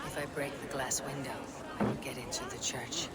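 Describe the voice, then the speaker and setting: A woman playing a stoic, direct and courteous Viking warrior in a video game, speaking in a measured way. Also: very deep voice, fairly even intonation, very noisy. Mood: musing. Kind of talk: video game character dialogue. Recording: loud music behind the voice.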